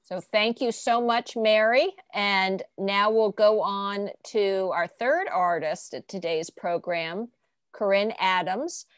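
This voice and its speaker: A woman's voice: medium pitch, slow, no echo, studio quality. A woman hosting a virtual art reception over a video call, moderating in a friendly, organized way. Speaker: host.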